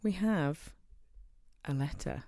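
A woman's voice: deep voice, expressive, slow pace, mellow, no room sound, studio quality. A woman co-hosting a podcast, chatting casually and reading a listener email with playful jokes. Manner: playful, giggly, jokey asides while reading. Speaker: podcast co-host.

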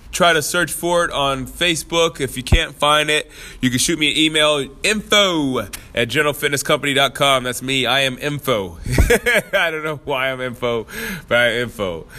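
Congested male podcast host, loose and playful, bright tense voice. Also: mid-range voice. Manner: loose and playful, chuckling between phrases. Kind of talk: casual podcast intro. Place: fitness studio.

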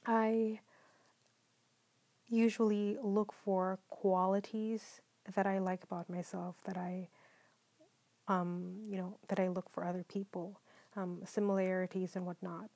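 A woman from the Philippines hosting a solo podcast, talking in a calm, mellow way, partly whispered, with many ums. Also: mid-range voice, a little slow, no room sound. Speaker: podcast host. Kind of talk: soft-spoken solo podcast monologue.

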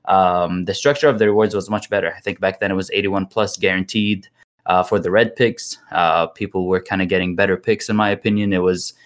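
A young man with a low, easygoing voice, a guest on a gaming podcast. He rambles loosely through his answers and laughs easily. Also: steady tone, a little fast. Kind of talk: casual podcast chat.

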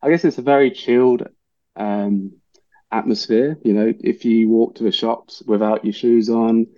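Relaxed English man with an Aussie twang. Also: medium pitch, moderate pace, dry recording, lo-fi sound. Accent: English with some Australian twang. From England. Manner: relaxed, rambling, many fillers, laughs easily. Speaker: chef, podcast guest. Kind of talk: casual interview chat.

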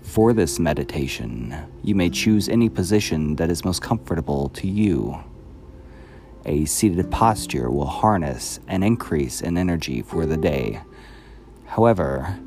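A man reading a scripted guided meditation in a calm, measured, soothing manner. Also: low-pitched.

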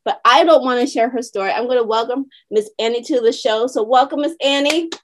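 High-voiced upbeat woman hosting a show. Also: brisk, slight background noise. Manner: upbeat, welcoming, uses fillers. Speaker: podcast host.

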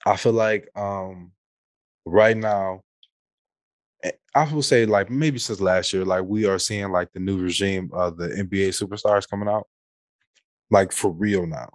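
Low-voiced American man, opinionated podcast chat. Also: unhurried, no echo. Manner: opinionated, colloquial, mildly profane. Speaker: podcast co-host. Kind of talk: casual unscripted chat.

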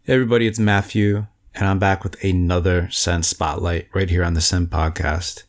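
A man's voice: sits low, level tone, moderate pace. A man hosting a podcast, introducing and interviewing a guest in an upbeat, friendly way. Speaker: podcast host.

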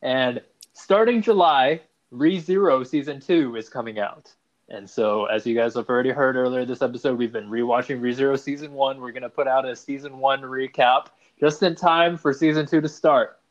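A young man co-hosting a casual podcast, talking in a playful, hurried way. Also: mid-range voice. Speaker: podcast co-host. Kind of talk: casual chat. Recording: slightly dull lo-fi recording.

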